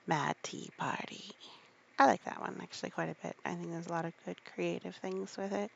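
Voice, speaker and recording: A woman reading aloud in a drowsy, soft-spoken, rambling bedtime style, with casual asides. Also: fairly low pitch, fairly even intonation, a little fast, gravelly, husky, lo-fi sound.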